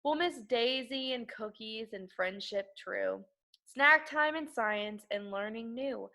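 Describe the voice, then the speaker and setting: A woman reading a children's picture book aloud like an elementary school teacher, with expressive, emphatic narration aimed at young children. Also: fairly high pitch, takes it slowly, vocal fry. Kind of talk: animated children's storybook read-aloud.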